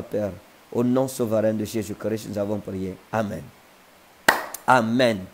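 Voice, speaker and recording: A man in his thirties, a prophet preaching and praying fervently in tongues on a livestream, speaking slowly and with repetitive emphasis into an earphone mic in a living room. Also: medium pitch, darkish tone. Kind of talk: livestream prayer and sermon. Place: living room with a sofa, indoors. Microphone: wired earphone mic.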